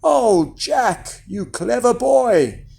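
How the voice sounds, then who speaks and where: Fairy-tale mum, voiced by a storyteller: high, fond, fretful, with lively pitch swings. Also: slow, slightly rough, exaggerated voice. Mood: delighted. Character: doting, anxious mother of fairy-tale boy.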